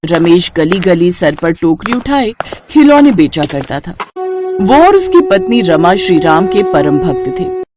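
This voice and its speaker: A woman's voice: on the low side, very animated, measured pace, over loud music. A woman narrating a scripted children's story.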